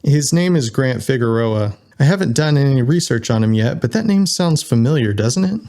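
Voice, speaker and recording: A man's voice: medium pitch, lively intonation, normal speed, mellow, close to an everyday voice. A man voicing a cheeky, cocky male corgi who pilots a ship and steals, in a sci-fi audio story. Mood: casual, sheepish.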